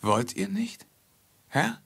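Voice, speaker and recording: Ein Schauspieler spielt in einem Hörspiel der 1950er einen treuen, besorgten Diener in Bettlergestalt mit belegter Stimme. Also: male voice, high voice, sing-song, slow pace, creaky, fairly bright tone, slightly rough, exaggerated voice. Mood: anxious, pleading. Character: loyal servant in beggar's guise, anxious, practical. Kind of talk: 1950s German radio play acting. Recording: 1950s mono radio drama.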